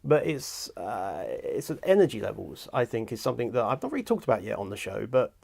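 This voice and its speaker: A chatty British man hosting a relaxed conversation in a recording studio, agreeable and quick to laugh. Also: lowish voice, vocal fry, slightly rough. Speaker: host. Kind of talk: casual chat.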